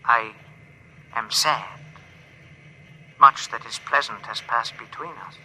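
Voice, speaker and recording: An actor playing a solemn, wistful alien envoy in formal, stilted phrases, filtered as if over a radio in an old radio drama. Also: male voice, medium pitch, slow, bright, forceful tone, exaggerated voice, low-quality recording. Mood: solemn, sad. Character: solemn, wistful alien envoy speaking through translation machine.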